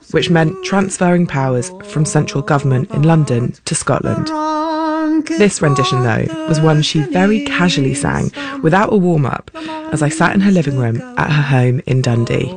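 A radio reporter narrating a scripted profile in a measured storytelling manner. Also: mid-range voice.

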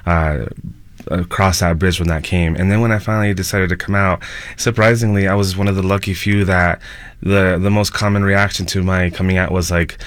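Deep, even-toned man, halting and thoughtful. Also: dry recording. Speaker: panel guest. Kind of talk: casual personal storytelling.

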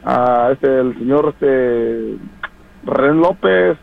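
A man's voice: medium pitch, extremely slow, creaky, narrow, tinny audio. A man calling in to a radio show, sending greetings to family in a rambling way with many fillers. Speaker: caller.

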